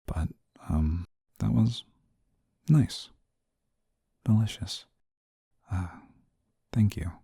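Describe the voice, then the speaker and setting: A man's voice: fairly low pitch, very slow, gravelly, pauses often, warm tone, breathy edge, no echo, studio quality, heavily acted. A man playing a stern, easily flustered guardian angel in a scripted audio roleplay, speaking formally and with prim reserve. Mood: awed, sheepish. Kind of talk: scripted audio roleplay, intimate one-sided dialogue.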